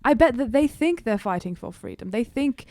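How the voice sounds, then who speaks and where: A woman's voice: fairly high pitch, animated, moderate pace, darkish tone. A woman playing a weary, homesick young demigod student in improvised tabletop roleplay, casual and hesitant with a sarcastic edge. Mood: uneasy.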